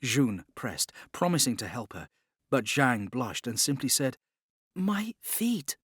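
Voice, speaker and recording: A man's voice: mid-range voice, lively intonation, measured pace, a bit bright, breathy edge, dry recording, studio quality. A man reading scripted dramatic narration as an audiobook narrator.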